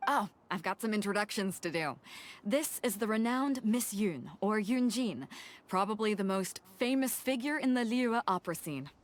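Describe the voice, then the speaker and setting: A woman's voice: mid-range voice, animated, normal speed, close to an everyday voice. A woman playing a bold, hearty sea captain in a video game, talking casually and sociably. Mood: friendly.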